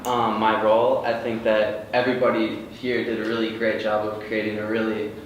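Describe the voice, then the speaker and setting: A man with a flat, mid-pitched delivery speaks earnestly to a group. The recording is distant and heavily reverberant. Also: normal speed, dull audio. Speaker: actor at a table read. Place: indoor room with a group. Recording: very echoey room, distant, noisy.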